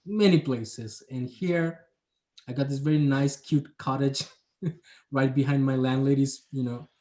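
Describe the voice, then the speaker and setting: Man, young adult, mid-pitched, reflective, hedging, full of fillers. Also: steady tone, unhurried, mellow. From Bangladesh. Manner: reflective, hedging, many "you know" and "kind of" fillers. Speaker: urban planner, guest. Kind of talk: casual interview talk.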